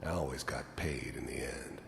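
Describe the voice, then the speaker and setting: An actor playing a weary, guilt-ridden hardened killer in a video game, gravelly, brooding and matter-of-fact as he reminisces. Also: a bass voice, normal speed, exaggerated voice. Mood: cold, matter-of-fact. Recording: echoey, noisy game audio.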